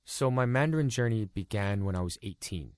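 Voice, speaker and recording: Low-voiced casual man from Los Angeles. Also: brisk, dry recording. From Los Angeles, California. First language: Cantonese. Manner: relaxed, many "like" fillers. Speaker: guest YouTuber. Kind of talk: casual interview storytelling.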